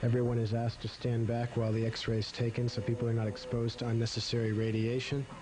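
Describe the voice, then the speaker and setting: A man's voice: medium pitch, completely flat delivery, fast. A man with an American accent narrating and hosting a medical program in an explanatory style. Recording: noisy old video recording.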